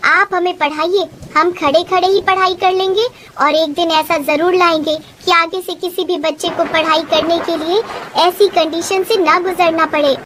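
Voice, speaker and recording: A very high-pitched young village schoolchild, played by a woman, speaks with earnest respect and determination. It is cartoon story dialogue, with faint noise behind the voice. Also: steady tone, moderate pace, fairly bright tone. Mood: determined.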